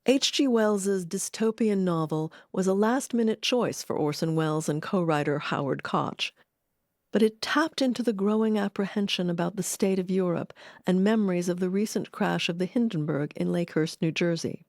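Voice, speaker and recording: A woman narrating a radio-history documentary in a measured, expressive scripted read. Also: lowish voice, no room sound, studio quality. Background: music.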